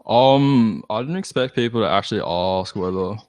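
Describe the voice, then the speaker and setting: A man's voice: lowish voice, moderate pace, a little mellow, no room sound. A man with an Australian accent chatting casually, hesitant, self-deprecating and sweary. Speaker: band musician, podcast guest. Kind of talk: casual chat.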